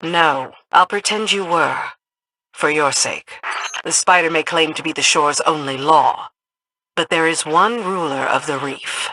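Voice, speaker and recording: An actress playing a brisk, dutiful lieutenant serving a queen in a video game. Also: sits low, pushed and bright, no room sound, heavily acted, low-quality recording. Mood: resolute.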